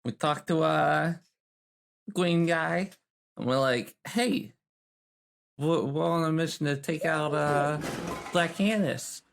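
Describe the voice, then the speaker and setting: A man playing a tabletop role-playing game, talking casually in character in a halting, rambling way. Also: a little high, vocal fry, soft background music. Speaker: player.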